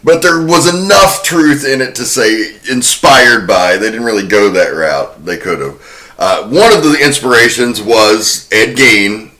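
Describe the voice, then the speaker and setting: A man's voice: mid-range voice, measured pace, pushed and bright, rough recording. A man hosting a podcast, casually explaining film history in an informal, sometimes profane way. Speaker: podcast host.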